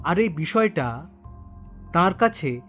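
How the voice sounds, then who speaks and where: Slow, animated man narrating a horror story. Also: on the high side, faint music behind. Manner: suspenseful, deliberate phrasing. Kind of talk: dramatic first-person scripted narration.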